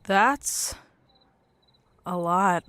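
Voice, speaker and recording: A woman's voice: fairly low pitch, very slow, fairly bright tone, no room sound. A woman playing a guarded, principled human negotiator in an audio drama, candid and matter-of-fact. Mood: wry, forgiving.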